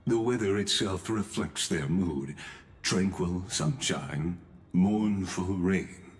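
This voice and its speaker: Deep-voiced, slow, courteous scholar. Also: male voice. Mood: solemn, explanatory. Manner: formal, deferential, measured. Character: courteous, scholarly, humble male retainer with gentle temper. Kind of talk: video game character acting.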